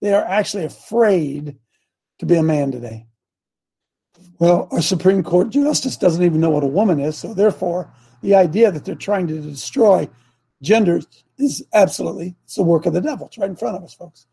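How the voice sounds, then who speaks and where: An older man hosting a talk show, reading and commenting in a rambling, preachy way, his raspy voice phlegmy and broken by throat clearing. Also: high-pitched, animated, normal speed, mellow. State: phlegmy, coughing. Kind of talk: casual political commentary.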